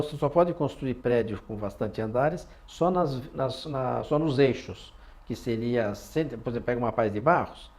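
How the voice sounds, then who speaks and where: A man explaining casually and haltingly in an interview, searching for words. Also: medium pitch, darkish tone. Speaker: local interviewee.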